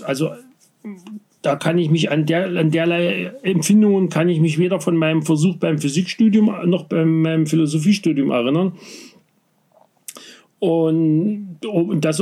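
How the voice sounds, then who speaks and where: A man with a slight Saxon accent talks in relaxed conversation, his voice a little high and gravelly with fry. He halts often, restarting phrases and filling gaps with hesitations. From Saxony, Vogtland. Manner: halting, many restarts and fillers. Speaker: interviewee. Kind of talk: casual conversation.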